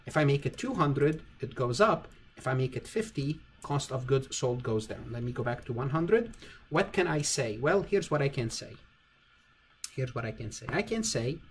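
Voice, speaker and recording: Man teaching accounting over background noise. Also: mid-range voice. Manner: repeats phrases for emphasis. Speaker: accounting lecturer. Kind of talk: informal step-by-step spreadsheet tutorial.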